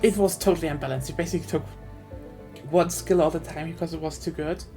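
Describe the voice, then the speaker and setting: A woman hosts an awards livestream in a slightly low voice. She talks casually and frankly, giving her opinions as she goes, over faint music. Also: fast, dark tone. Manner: informal, opinionated. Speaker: award show host.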